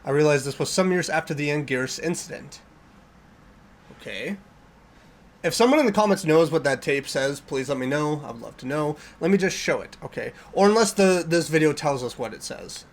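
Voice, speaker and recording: A man's voice: on the high side, lively intonation, brisk, slight background noise. A man giving casual reaction commentary as a video host, jittery and often repeating himself.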